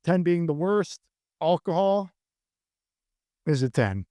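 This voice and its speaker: A man being interviewed as a fitness coach, answering earnestly and thinking aloud in measured phrases. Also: on the high side, lively intonation, dark tone, clean tone, no room sound.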